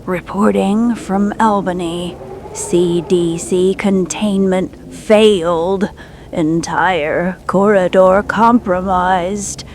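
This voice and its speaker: A woman's voice: lowish voice, steady tone, very slow, darkish tone. A woman playing a stark emergency field reporter, sending clipped bulletins in an apocalyptic radio drama. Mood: stark, ominous. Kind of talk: clipped repeating emergency transmission. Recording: noisy distant radio transmission.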